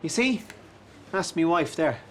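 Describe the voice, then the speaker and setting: A man's voice: a little high, lively intonation, unhurried, slight background noise. An actor playing an exasperated man who answers everything with sarcasm. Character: exasperated middle-aged man, sarcastic and easily riled. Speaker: put-upon son-in-law. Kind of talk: sitcom acting.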